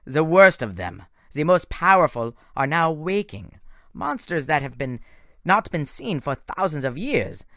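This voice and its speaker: A man voicing a menacing male monster who speaks slowly and theatrically, gloating over his captives. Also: on the high side, sing-song, fairly bright tone, no room sound, studio quality, voice pushed up, heavily acted.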